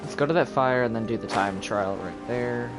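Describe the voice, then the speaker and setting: A man's voice: medium pitch, normal speed. A man giving casual live commentary on a video game, thinking aloud as he plays. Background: video game music.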